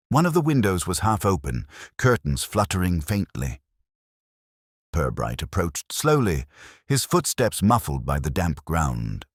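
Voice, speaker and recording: A man's voice: low voice, no echo, clean studio sound. A man reading scripted, dramatic detective fiction as the narrator.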